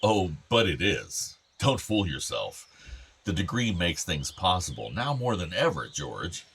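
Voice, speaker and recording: A man's voice: sits low, very animated, a little slow, fairly bright tone, husky, heavily acted. An actor playing an anxious, educated middle-aged American father in a radio play, earnest and pressing but conciliatory. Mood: concerned, pressing. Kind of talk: naturalistic radio play acting.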